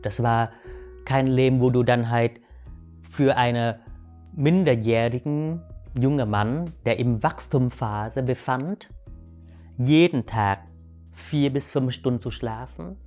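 Halting man. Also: medium pitch, darkish tone, faint music behind. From Vietnam. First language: Vietnamese. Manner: halting, many restarts and fillers, asks "weißt du". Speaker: guest. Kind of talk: reflective personal storytelling.

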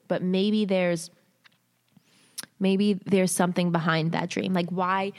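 A woman hosting a show, reading a listener's submitted story aloud in short halting phrases, then chatting candidly with casual slang. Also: fairly low pitch, a little mellow. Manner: candid, uses swearing and slang. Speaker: host reading listener stories.

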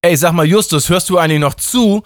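Brief: easygoing boozy god, high and loud, as a male narrator puts him on. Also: brisk, no room sound, studio quality, natural voice. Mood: jolly.

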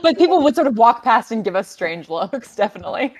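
A woman's voice: a little high, big pitch swings, brisk. A woman chatting casually as a panelist, recalling things in loose, conversational phrases. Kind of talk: casual chat. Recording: background noise.

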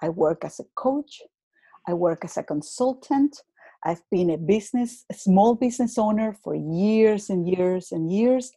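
A woman with a Venezuelan accent, speaking English as her second language, talks slowly and thoughtfully as a guest coach on a podcast. Her voice is low-pitched, mellow and a little husky. The sound is dry and close. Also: expressive, no echo. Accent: Venezuelan Spanish. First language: Spanish. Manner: slow, reflective, with many fillers and repeats. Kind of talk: casual interview conversation.

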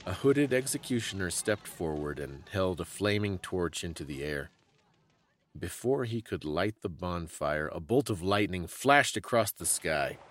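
A man giving a dramatic, scripted story reading in a measured, solemn and theatrical way. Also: low-pitched, animated, a little hiss or hum.

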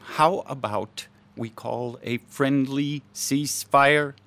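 A man's voice: mid-range voice, fairly even intonation, slow, a bit bright, no room sound. A man acting the part of an irritable, defensive middle-aged husband and professor in a radio drama. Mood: irritated, defensive.

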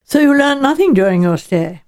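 Woman reading a measured, inquisitive questioner, mellow. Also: high voice, sing-song, pitched above the performer's own voice. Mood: inquiring. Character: probing professional listener questioning an old lady.

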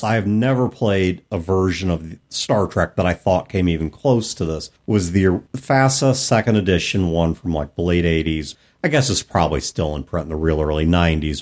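A man's voice: low voice, a little mellow. A man co-hosting a podcast, explaining tabletop gaming in a casual, detailed way. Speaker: podcast co-host. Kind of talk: casual panel discussion of tabletop role-playing games.

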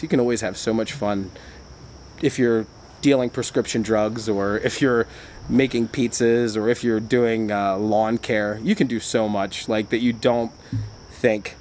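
A man's voice: fairly low pitch, darkish tone, a little hiss or hum. A man who works at a bar, talking in casual chat, rambling and chatty with frequent restarts and filler words. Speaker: bar worker.

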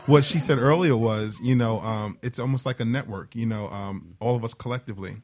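A man's voice: medium pitch, a little fast, a little mellow. Conversational man with a mid-range voice. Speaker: talk show panelist. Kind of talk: conversational motivational discussion.

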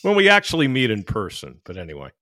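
A man's voice: lowish voice, wildly swooping pitch, fast, bright, forceful tone. A man hosting a casual interview, laughing often and bantering with his guests. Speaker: podcast host.

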